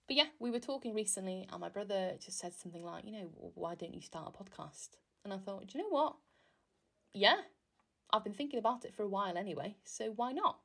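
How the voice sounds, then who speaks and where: A young woman from Wales hosts her own podcast, talking on her own in a relaxed, rambling way with plenty of fillers. Her first language is Welsh. Her voice has a noticeable vocal fry running through it. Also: medium pitch, fast talker. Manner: chatty, self-deprecating, rambling with fillers. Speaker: podcast host. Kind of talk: casual solo podcast monologue.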